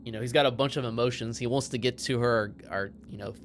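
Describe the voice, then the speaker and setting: A man playing a tabletop roleplaying game, haltingly improvising what his character does. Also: medium pitch, steady tone, slight background noise.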